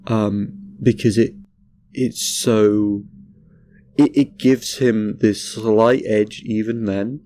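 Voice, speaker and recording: A man with a cold, speaking in a stuffy voice as he rambles casually through an anime review, halting with many restarts. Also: on the low side, steady tone, dark tone, no room sound. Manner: halting, lots of restarts and fillers.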